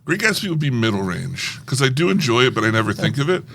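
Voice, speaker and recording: A playful man co-hosts a casual movie podcast and laughs often. His voice has a gravelly edge and a bright, sharp tone. His pitch swings widely as he talks. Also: sing-song, quick pace, slight background noise. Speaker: podcast co-host. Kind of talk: casual chat about movies.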